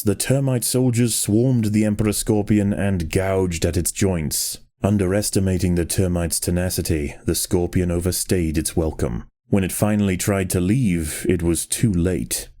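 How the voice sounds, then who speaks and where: A man narrating a video game like a grave nature documentary, in a measured, solemn and precise manner. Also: low-pitched, warm tone, natural voice.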